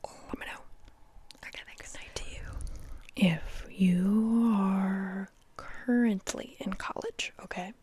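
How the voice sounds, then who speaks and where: A young woman whispers close to the microphone in a relaxed ASMR style. Her talk is playful and chatty, and she often repeats words in little runs. Her pitch sits in the middle range for a woman. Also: slow pace. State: dry mouth. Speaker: ASMR host.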